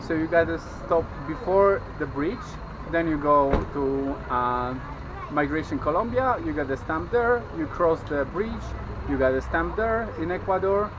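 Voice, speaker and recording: A man's voice: fairly high pitch, animated, a little slow, muffled recording. A young man with a Polish accent, whose first language is Polish, chatting to a handheld camera outdoors as a travel vlogger and explaining things step by step. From Poland. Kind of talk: casual travel vlog talk to camera. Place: outdoors at a busy border crossing, then a roadside food stall. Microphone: handheld camera at arm's length. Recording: outdoor camera audio with clear traffic noise. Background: street and traffic noise.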